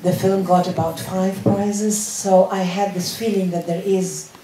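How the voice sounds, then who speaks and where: Mellow woman speaking from the audience. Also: medium pitch, little pitch movement, unhurried, slight background noise. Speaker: audience member. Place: cinema auditorium. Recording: echoey hall from a distant mic.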